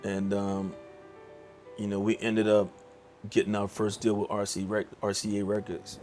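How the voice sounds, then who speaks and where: A middle-aged man speaks in a low, easygoing voice, full of "you know" and restarts. He tells his story in a relaxed, conversational way. Soft music plays faintly beneath him. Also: moderate pace. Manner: laid-back, many fillers and restarts. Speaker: songwriter guest. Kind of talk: casual interview talk.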